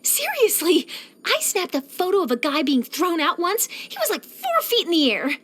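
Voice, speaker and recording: A woman voicing an eager, nosy young female journalist in a video game, chatty, theatrical and expressive. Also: extremely high pitch, normal speed, a little hiss or hum, heavily acted. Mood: gossipy, animated. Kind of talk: animated game character voice lines.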